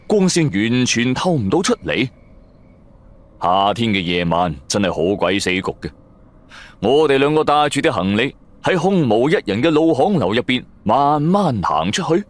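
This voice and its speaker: A man with a Cantonese accent narrating an audio drama as dramatic first-person storytelling. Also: lowish voice.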